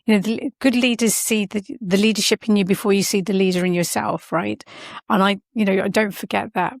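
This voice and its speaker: A woman's voice: mid-range voice, steady tone, fairly quick, vocal fry, no echo, clean studio sound. A middle-aged woman speaking as an interview guest, thoughtful and earnest, often restarting her sentences as she reflects. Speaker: podcast guest, science and healthcare leader.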